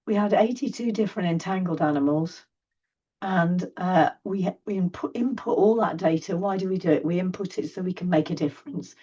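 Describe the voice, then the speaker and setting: A woman with a hoarse, failing voice giving a chatty, apologetic online presentation for a charity from her desk. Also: fairly low pitch, brisk, dark tone. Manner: chatty, apologetic, self-deprecating. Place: home desk. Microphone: computer mic on video call. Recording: distant, dull video call audio.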